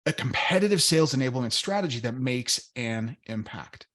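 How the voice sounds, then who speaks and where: A man hosting a sales podcast, friendly and laid-back, with repeats and restarts. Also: fairly high pitch. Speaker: podcast host.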